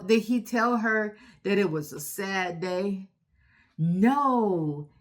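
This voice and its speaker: Slow-talking woman hosting children's storytime. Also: mid-range voice. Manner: slow, instructive, questioning the young listeners; encouraging. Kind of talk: read-aloud story recap for young children.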